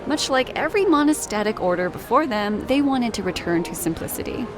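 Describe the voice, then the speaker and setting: A woman's voice: a little high, lively intonation, fairly quick, music in the background, natural voice. A woman voicing a playful, knowing psychology student and history buff in a video game, with a wry, teasing manner. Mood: wry, informative. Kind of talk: video game character dialogue.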